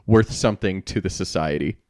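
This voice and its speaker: Casting: male podcast co-host with a fairly low voice, explanatory and self-correcting, very dry sound. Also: brisk, darkish tone, no room sound. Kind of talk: casual analytical discussion.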